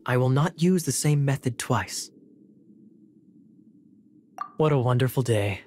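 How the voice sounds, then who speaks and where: An actor playing a suave young knight captain in a video game, speaking in a smooth, sly, politely theatrical way. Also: male voice, mid-range voice, animated, takes it slowly, a little mellow, slight background noise. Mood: polite, charming. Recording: music behind the voice.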